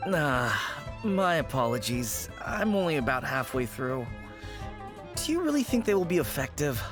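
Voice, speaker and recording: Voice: medium pitch, sing-song, normal speed, over music, natural voice. An actor voicing a deferential, cautious funeral parlor employee in a video game, polite and hesitant. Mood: apologetic, doubtful. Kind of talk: English video game voice acting.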